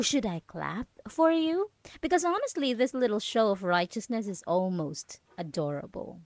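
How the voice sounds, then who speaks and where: A woman's voice: mid-range voice, darkish tone, dry recording. A woman playing a possessive, mocking yandere roommate in an ASMR roleplay, teasing and condescending as she lingers on her words. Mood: sarcastic, mocking. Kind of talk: dramatic ASMR roleplay monologue.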